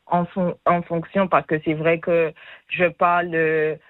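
A woman's voice: lowish voice, level tone, unhurried, no room sound, phone-quality sound. A woman, answering informally as an interview guest. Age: 20s. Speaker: interview guest, small business owner and designer.

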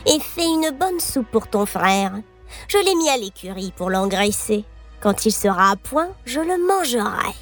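A woman's voice: mid-range voice, very animated, unhurried, faint music behind. A woman voicing a cruel, cackling old witch who eats children, in a storybook reading. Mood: harsh. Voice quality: cackling, gloating.

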